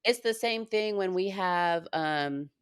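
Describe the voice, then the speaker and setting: Low-voiced woman hosting, dry sound. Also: slow, clean tone, no room sound. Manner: easygoing and reflective, laughs often. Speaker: podcast host. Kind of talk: casual chat.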